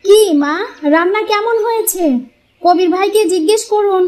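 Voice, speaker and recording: A crafty young housewife from a cartoon fairy tale, acted by a woman, with an extremely high voice. She talks in a teasing, pointed way, like a cheeky daughter-in-law. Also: moderate pace, a little mellow. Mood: playful.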